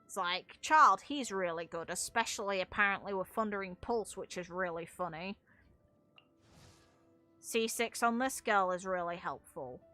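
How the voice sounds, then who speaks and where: A woman's voice: medium pitch, unhurried, bright, forceful tone, faint music behind. A woman giving casual, opinionated video game commentary as a streamer, with some casual swearing.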